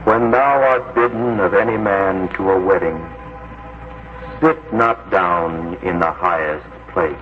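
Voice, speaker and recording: An actor playing a solemn, authoritative holy teacher, speaking in measured scriptural phrases in 1940s radio drama. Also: male voice, low voice. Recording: thin narrow-band old radio, faint music.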